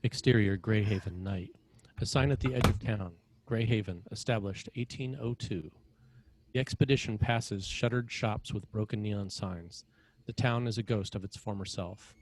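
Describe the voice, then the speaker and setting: A man's voice: lowish voice, moderate pace, slight background noise, poor audio. A man reading scripted stage directions as the narrator of a screenplay.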